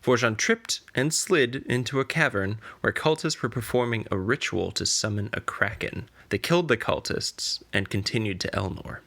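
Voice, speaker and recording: A man's voice: on the low side, moderate pace, gravelly, few pauses, fairly bright tone. A man reading scripted recap narration as the narrator of a fantasy podcast.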